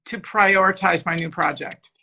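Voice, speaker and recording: A woman author being interviewed, talking casually and haltingly, restarting her sentences. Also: fairly low pitch, bright, forceful tone. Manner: hesitant, many fillers and restarts. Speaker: author guest.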